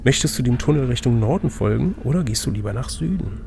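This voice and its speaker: A man's voice: mid-range voice, animated, fast, creaky, noisy background. A man narrating an adventure gamebook as game master, switching between scripted reading and casual chat.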